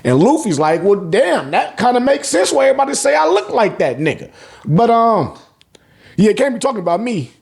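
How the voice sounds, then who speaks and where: A man's voice: sits high, very animated, moderate pace, gravelly, a little mellow. A man hosting a casual recap, talking in profane slang, acting out reactions and repeating words in bursts as he goes. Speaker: host of a manga review channel. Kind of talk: casual, animated chapter recap commentary.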